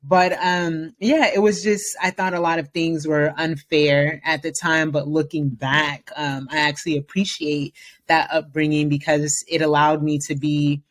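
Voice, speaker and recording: A woman's voice: sits low, level tone, unhurried. A woman in her thirties from the Bay Area, talking as a guest in a casual interview, upbeat and confident with plenty of fillers. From San Francisco Bay Area, US. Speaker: podcast guest.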